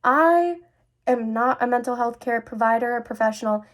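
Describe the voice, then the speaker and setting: A woman's voice: fairly high pitch, moderate pace, a bit bright. A young adult woman speaking earnestly and confidingly, as a host sharing personal experiences. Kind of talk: personal talk to camera about mental health.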